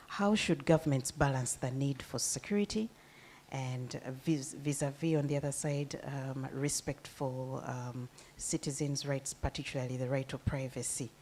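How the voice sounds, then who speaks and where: A woman moderating a panel discussion, deliberate and pausing with fillers. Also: rumbling low, warm tone, slight background noise.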